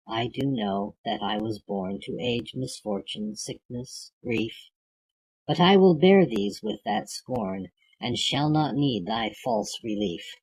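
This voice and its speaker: A husky, creaky voice of unclear gender reads old verse aloud for an audiobook. The pace is very slow and measured, with a declamatory delivery that gives each phrase its own space. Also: mid-range voice, darkish tone, low-quality recording. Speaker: audiobook reader. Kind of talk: reading classic poetry aloud.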